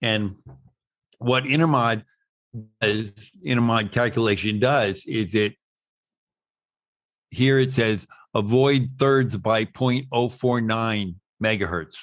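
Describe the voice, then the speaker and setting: Low-voiced man explaining technical material haltingly. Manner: halting, with repeated words and stop-start phrasing. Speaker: instructor presenting at an online summit. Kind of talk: technical explanation, webinar talk.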